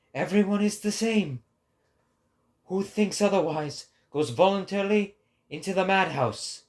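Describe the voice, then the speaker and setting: A man acts out a lofty male prophet delivering a philosophical oration. The voice sits fairly high and moves very slowly, each phrase weighed out with grave, sorrowful, declamatory weight.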